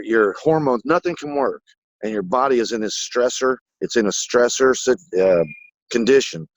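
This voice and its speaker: A man in a casual spiritual conversation who rambles excitedly and swears casually. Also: medium pitch, dry recording, low-quality recording.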